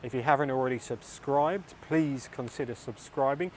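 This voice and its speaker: A middle-aged man talks to camera in a casual vlog, opinionated and dry. His voice sits at an ordinary middle pitch. Faint street traffic murmurs behind him. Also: normal speed, darkish tone. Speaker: vlogger and tour guide. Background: faint traffic and street noise.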